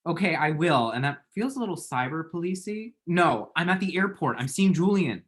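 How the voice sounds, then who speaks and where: A man's voice: a little high, lively intonation, normal speed, a bit bright. Man acting a chatty, flippant lovesick young guy. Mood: playful. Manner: chatty, dry, self-deprecating, pop-culture asides. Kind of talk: screenplay table read.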